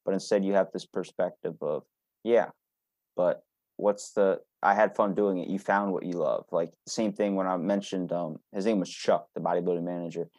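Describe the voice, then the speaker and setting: A man's voice: deep voice, steady tone, moderate pace, a little mellow, dry recording. A man hosting a casual podcast, talking in a blunt, informal, anecdotal way. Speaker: podcast host.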